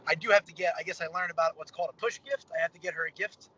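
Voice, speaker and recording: Fast-talking young man in a car. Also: on the high side, sharp tone, breathy edge. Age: young adult. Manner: upbeat, rambling, lots of fillers. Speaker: pro fighter interviewee. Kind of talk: casual video-call interview. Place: inside a parked car. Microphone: phone camera on a video call. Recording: slightly dull video-call audio with faint car hum.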